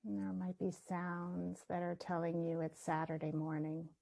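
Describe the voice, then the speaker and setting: A woman leading a guided meditation, speaking gently and leaving long pauses between her phrases. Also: on the low side, monotone, gravelly. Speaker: meditation teacher.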